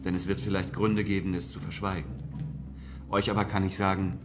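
An actor playing a shrewd, composed European traveller in a radio drama, speaking in a measured, assured way. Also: male voice, sits low, level tone, reverberant, music in the background, natural voice. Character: confident, shrewd European traveller, narrating his adventures. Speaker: narrator and lead actor. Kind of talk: radio drama acting and narration.

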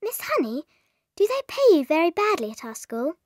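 Polite, precise English schoolgirl, high-pitched and earnest, for children's audio drama. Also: very animated, normal speed, a little mellow, dry recording. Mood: curious, polite.

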